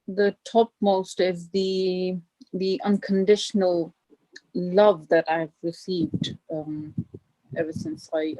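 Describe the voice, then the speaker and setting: A woman from northern Pakistan speaks English; her is Burushaski. She answers questions modestly, halting with many fillers, in a low, mellow voice. The audio is dry and lo-fi. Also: dry recording. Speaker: guest lecturer.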